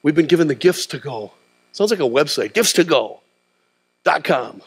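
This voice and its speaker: A man's voice: on the high side, expressive, moderate pace, husky. A middle-aged man preaching a sermon in a large church auditorium, prodding the crowd with questions like "huh?" as he paces the stage. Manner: call-and-response preaching, tag questions like "huh?". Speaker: pastor.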